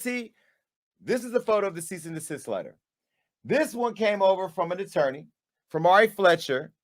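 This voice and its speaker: A high-voiced man delivering a sassy callout. He swings between hushed, pointed asides and loud, punchy bursts, like a host laying out receipts for his audience. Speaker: media company owner and host. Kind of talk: on-camera callout monologue.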